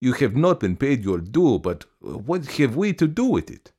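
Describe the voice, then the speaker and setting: A man's voice: medium pitch, very animated, moderate pace, warm tone, husky, voice pushed up. A man voicing a weary, irritable male bank official in a story, curt and languid as he explains himself.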